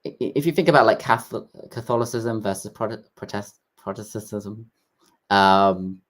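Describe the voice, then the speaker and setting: A man talking as a guest in a casual interview, explaining things in a halting way with frequent restarts. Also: on the low side.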